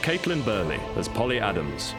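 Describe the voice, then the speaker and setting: A man's voice: on the low side, wildly swooping pitch, normal speed. A man reading scripted closing credits as the announcer of an audio drama. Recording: loud music underneath.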